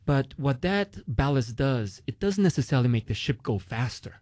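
A man's voice: medium pitch, expressive, normal speed, a little mellow, no room sound. A man with a Romanian accent, a guest explaining investing in casual conversation, with many fillers. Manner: uses many "like" and "you know" fillers, explains with analogies.

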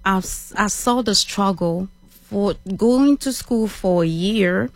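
A middle-aged woman with a Cameroonian accent, speaking calmly and in a measured way as an interview guest. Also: mid-range voice, dry recording, soft background music. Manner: calm, measured. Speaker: interview guest, business owner. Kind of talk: conversational interview.

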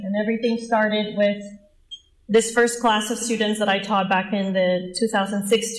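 A woman in her forties from the Dominican Republic, giving an earnest, personal presentation as a nonprofit leader. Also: mid-range voice. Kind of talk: presentation talk. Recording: echoey room.

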